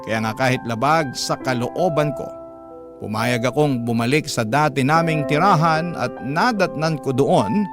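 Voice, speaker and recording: A medium-pitched Filipino eldest son, voiced by a man recounts his painful past. It is dramatic first-person radio storytelling, with music clearly playing behind the voice. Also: unhurried, natural voice. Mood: solemn recounting.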